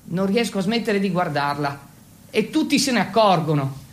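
A man's voice: high voice, expressive. Man playing an awkward Italian teenage boy, feverish. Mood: fixated. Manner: halting and fumbling when speaking English. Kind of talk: theatrical first-person monologue.

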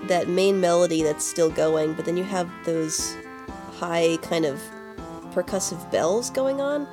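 A woman's voice: on the low side, a little slow. A woman co-hosting a podcast, chatting casually and informally about video game music. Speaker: podcast co-host.

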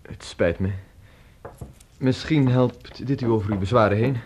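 A man acting a polite, well-to-do traveller in a radio drama, speaking in a measured, formal way. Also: medium pitch, a little mellow, close to an everyday voice. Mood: polite, musing. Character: courteous well-to-do male traveller at a country inn. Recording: old radio play recording, hissy, clicks.

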